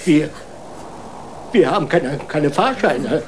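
An actor playing a deferential, worried court marshal in a children's radio play, halting, stammering and politely cautious. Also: mid-range voice, expressive, slight background noise.

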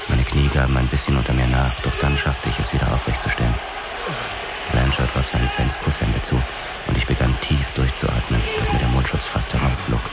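An actor playing a laconic ex-boxer policeman who narrates a radio drama in measured, matter-of-fact storytelling. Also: rumbling low. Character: laconic young male ex-boxer cop, first-person narrator. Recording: loud music behind the voice.

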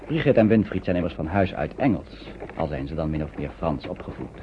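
An actor narrating a radio play as a grave storyteller, in a measured, suspenseful, scripted style. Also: male voice, a bass voice, animated, background noise. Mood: uneasy, suspenseful. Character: grave storyteller recounting a wartime flight. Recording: dull old radio recording.

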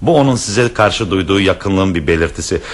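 Bashful stammering professor, radio play, lively pitch. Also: male voice, brisk. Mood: earnest. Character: flustered middle-aged male professor, shy suitor. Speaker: professor, widowed father.

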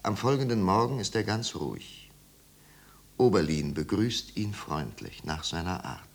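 A man narrating a 1950s literary radio drama as a grave, sombre storyteller with deliberate pauses. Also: sits low, expressive, gravelly, exaggerated voice. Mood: grave, sorrowful.

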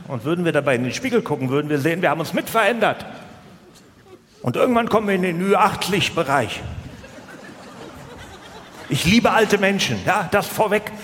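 A man's voice: a little high, fairly bright tone. A middle-aged man telling stand-up comedy stories in a colloquial way, with frequent tag questions. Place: live stage in a large hall. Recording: reverberant hall, audience, faint noise. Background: audience laughter.

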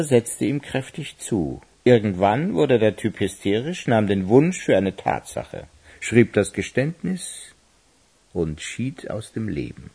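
A man's voice: on the low side, creaky, dark tone, slightly rough, exaggerated voice. A man playing a cynical, sneering criminal accomplice in a radio crime drama, sarcastic and theatrical. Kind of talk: German radio play acting.

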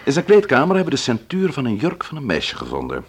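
An actor playing a composed, probing police inspector in a radio play, measured and courteous in his questioning. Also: mid-range voice, huge pitch swings, vocal fry, husky, exaggerated voice. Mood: probing, firm.

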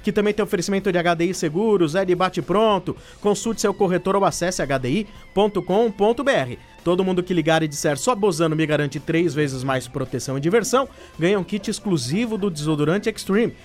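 A man's voice: high-pitched, a little fast, few pauses. A man with a São Paulo Brazilian accent hosting live radio, moving between casual banter and sponsor reads. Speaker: radio show host.

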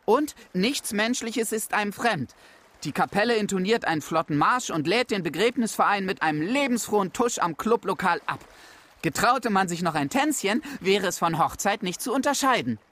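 A man's voice: sits high, animated, moderate pace, fairly bright tone. Bitter, sardonic man. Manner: sardonic, mock-festive speechifying. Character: bitter man full of gallows humour. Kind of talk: German radio drama acting.

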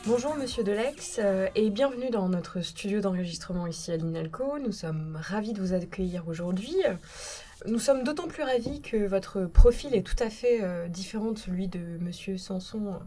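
Female radio interviewer, soft dark tone, courteous and formal delivery. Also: mid-range voice, normal speed. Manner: polite, formal. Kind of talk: prepared interview questions. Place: radio recording studio.

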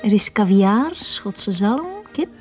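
Seductive hostess, radio play, unhurried, medium pitch, music behind. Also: female voice, lively intonation, a little mellow. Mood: coaxing. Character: coaxing, seductive woman hostess, swindler's lover. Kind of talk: radio drama acting.

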